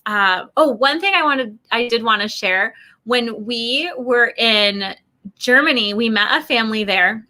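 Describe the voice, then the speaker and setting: A middle-aged woman telling casual stories in an animated, chatty way, acting out what people said. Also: fairly high pitch. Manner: animated, chatty, acts out quoted remarks. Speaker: guest sharing family travel experiences. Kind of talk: casual conversational storytelling.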